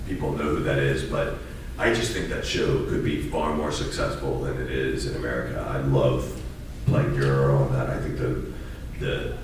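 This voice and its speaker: Super deep voiced guy joking deadpan on a con panel, sounds far off in a big echoey room. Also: normal speed. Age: adult with a young daughter. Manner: deadpan mock-formal joking. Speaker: voice actor panelist. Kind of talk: joking panel press conference. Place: large convention panel room. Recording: very echoey room, distant mic, faint noise.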